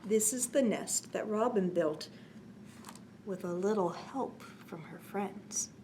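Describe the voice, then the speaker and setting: A woman hosting a children's story time, reading a picture book aloud with expressive, sing-song emphasis and pausing to explain and ask questions. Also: mid-range voice, background noise.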